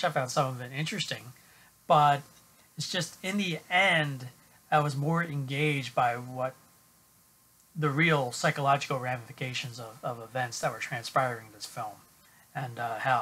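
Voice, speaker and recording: Middle-aged male film reviewer, bright and slightly husky tone, halting delivery full of ums, webcam at home. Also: mid-range voice. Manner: halting, many uhs and restarts. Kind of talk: unscripted film review to camera. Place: small room at home, indoors.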